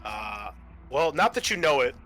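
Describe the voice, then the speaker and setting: Male game master, bright tone, offhand and foul-mouthed, directing tabletop play over music. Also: mid-range voice, big pitch swings, a little slow. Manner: casual, swears freely. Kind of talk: running a tabletop role-playing game.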